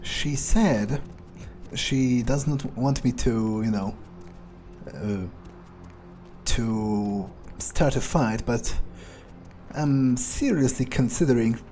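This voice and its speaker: A man role-playing an arrogant, dryly amused vampire while playing a video game, musing aloud in a halting, deadpan way. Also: mid-range voice, soft background music, lightly acted. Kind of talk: in-character video game playthrough, thinking aloud.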